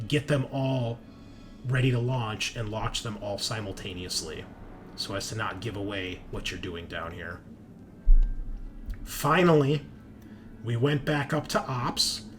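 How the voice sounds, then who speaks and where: A man narrating a tabletop roleplaying game as game master, in a conversational, halting way with emphatic swells. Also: lowish voice. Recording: clear background noise.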